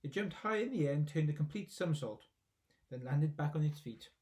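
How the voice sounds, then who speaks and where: A man's voice: a little high, expressive, brisk, a little mellow. A man narrating a children's story expressively, as a reader reading aloud from a book.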